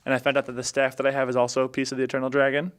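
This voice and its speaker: A man casually recapping a game adventure as a podcast player, often halting as he searches his memory. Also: medium pitch, monotone, smooth.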